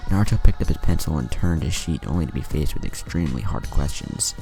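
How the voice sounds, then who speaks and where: Super deep guy narrating a fan story, crackly fry, music under him. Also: fast. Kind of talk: scripted fan fiction narration with acted dialogue. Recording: music behind the voice.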